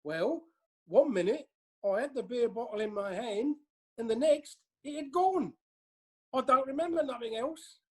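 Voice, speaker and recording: A woman acts out a working-class man on trial in a courtroom audio play. The voice is raspy and fairly high. The speech is plain, colloquial and hapless. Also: animated, a little slow. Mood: sheepish. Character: hapless working-class male pantomime-goer on trial as defendant. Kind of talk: courtroom audio drama acting.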